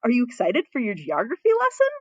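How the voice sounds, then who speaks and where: A woman's voice: on the high side, huge pitch swings, quick pace, slightly rough, no room sound, full character voice. A woman voicing a fussy, sarcastic but devoted young male palace tutor in a story, theatrical and formally polite. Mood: cheery, attentive.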